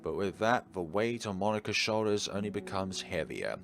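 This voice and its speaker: A man's voice: on the low side, normal speed, gravelly, a bit bright. A man reading a visual novel aloud and commenting on it in a theatrical, slightly formal way over game music. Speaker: video game playthrough host. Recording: music behind the voice.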